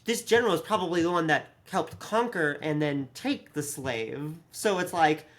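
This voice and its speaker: Podcast cohost just chatting thru a review, animated, swears a bit, does mock quote voices, mid pitch. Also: normal speed. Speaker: podcast co-host. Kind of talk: casual review chat.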